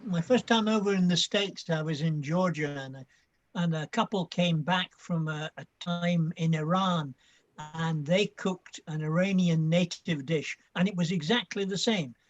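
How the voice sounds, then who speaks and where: A man's voice: on the high side, normal speed, lo-fi sound. An older man with a Scottish accent, chatting and telling stories with a ready laugh. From Scotland. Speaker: reading group member. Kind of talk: casual chat.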